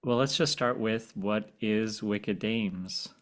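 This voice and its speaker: A man with a fairly low voice hosts a podcast interview. He talks in a conversational way, introducing and questioning his guest. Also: takes it slowly.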